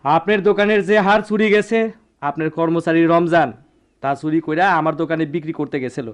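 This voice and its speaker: An actor playing a righteous, self-assured gold dealer in a radio drama, deliberate and assertive, with a rural Bangladeshi accent. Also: male voice, on the high side, fairly even intonation, clear, resonant tone, lightly acted. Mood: confident, reproachful. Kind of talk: Bengali radio play acting.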